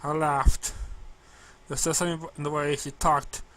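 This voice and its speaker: Casting: male amateur story narrator, slightly high and sharp-toned, halting delivery. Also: level tone, a little hiss or hum. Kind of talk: amateur fan fiction reading.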